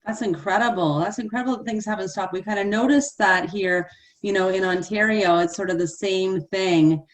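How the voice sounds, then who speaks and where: A woman's voice: mid-range voice, steady tone, moderate pace. An enthusiastic woman hosting a live panel, chatty and full of "like" and "you know". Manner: enthusiastic, chatty, many fillers. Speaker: host.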